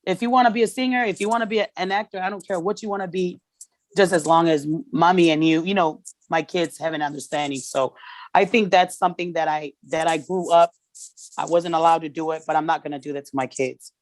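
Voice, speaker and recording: A woman's voice: fairly low pitch, no echo. Woman from Tonga, Tongan first language, candid podcast guest, stop-start delivery, dry close sound. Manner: candid, halting, restarts often. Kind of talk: casual conversation.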